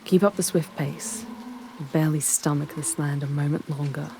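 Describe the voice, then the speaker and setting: A woman playing a charming, haughty demon guide through hell in a scripted ASMR roleplay, speaking in formal, measured, archaic phrases. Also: low voice, steady tone, background noise. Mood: wry, composed.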